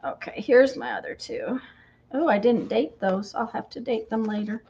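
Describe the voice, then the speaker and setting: A woman hosting a craft livestream, chatting casually and greeting viewers by name as she works, her voice slightly croaky from a cold. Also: a little high, normal speed, a little mellow, highs cut off. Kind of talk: casual chat while crafting.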